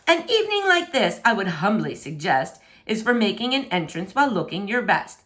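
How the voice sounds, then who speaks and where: A woman's voice: mid-range voice, very animated, a bit bright. A woman voicing a vain, pompous prince in a rhyming storybook, haughty, theatrical and easily outraged. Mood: condescending. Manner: haughty, theatrical, exclaiming, drawn-out emphasis. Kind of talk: storybook read-aloud in rhyming verse.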